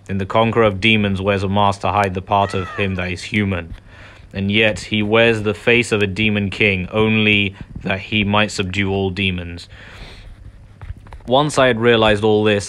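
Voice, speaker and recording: Male gaming host: low voice, playthrough commentary and read-aloud dialogue, faint music underneath. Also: fairly bright tone. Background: game music, faint.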